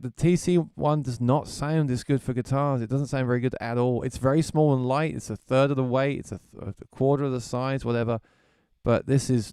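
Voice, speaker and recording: A man's voice: mid-range voice, darkish tone, clean tone, no echo, studio quality. A man chatting casually on a livestream while demoing music gear, thinking aloud and often repeating words. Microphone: vocal mic through effects unit.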